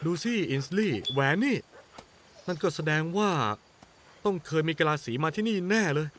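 A man dubbing a cheerful young castaway sailor in a Thai cartoon, excitable and quick to laugh. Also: fairly high pitch, background noise, natural voice. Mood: gentle. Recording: dub with loud music.

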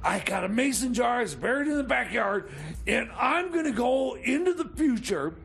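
An actor playing an excitable, bumbling scientist in radio comedy, stammering and frantically repeating himself. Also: male voice, very high-pitched, normal speed, gravelly, heavily acted. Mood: eager, stammering. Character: excitable, bumbling self-styled mad scientist time traveller.

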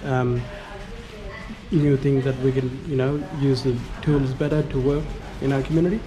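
A man from Western Australia whose first language is Martu, a hesitant and soft-spoken interpreter. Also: medium pitch, fairly even intonation, moderate pace, music in the background, low-quality recording. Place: indoor conference venue. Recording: loud crowd chatter behind.

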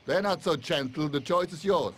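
Guy doing a threatening german officer in a war game, high pitched, demanding, bit of background noise. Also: normal speed, lightly acted. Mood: coldly threatening. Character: menacing German officer interrogating a captured pilot. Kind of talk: video game cutscene acting.

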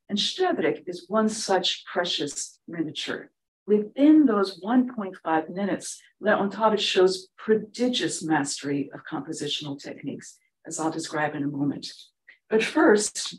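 A woman whose first language is Ukrainian presents a prepared talk as a conductor on a panel. Her delivery is measured and precise, at an ordinary middle pitch. She is picked up by a room mic at some distance in a seminar room. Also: darkish tone.

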